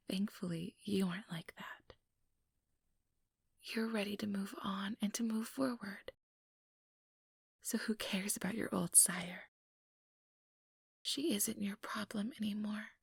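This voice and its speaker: A woman plays an ambitious vampire recruiter in a whispered ASMR role-play. Her voice is very husky and breathy, with a creaky edge, captured close and dry. She coaxes softly and deliberately, manipulative yet soothing. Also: medium pitch, dry recording, heavily acted. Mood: soothing, smug. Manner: intimate, coaxing, deliberate. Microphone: close microphone, dry.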